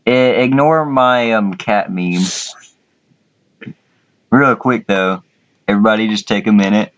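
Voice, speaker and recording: A man's voice: fairly low pitch, a little slow. A man chatting casually and crudely as he hosts a contest, with some swearing. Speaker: contest host. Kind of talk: casual chat, commentary. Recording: dull lo-fi voice chat audio.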